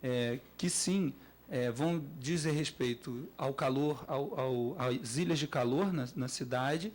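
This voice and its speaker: A man with a Brazilian accent speaking off the cuff as an academic panelist, halting and repeating words as he develops his points. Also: medium pitch. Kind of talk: spontaneous panel discussion.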